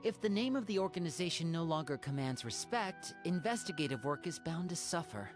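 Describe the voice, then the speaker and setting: A woman's voice: lowish voice, big pitch swings, brisk, over music. A woman voicing a reserved woman investigator in a video game, thoughtful and dry in her remarks.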